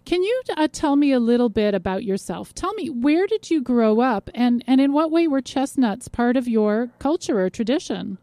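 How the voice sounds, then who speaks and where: A woman presents a radio show in a fairly high but soft, warm-toned voice. She delivers scripted introductions and interview questions, recorded dry and close. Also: expressive, moderate pace, hardly any pauses, no room sound.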